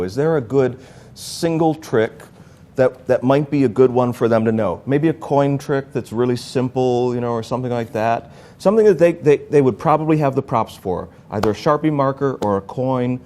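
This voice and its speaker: A man's voice: medium pitch, normal speed, warm tone. A man hosting a casual interview with a magician, talking in rambling restarts and asking questions.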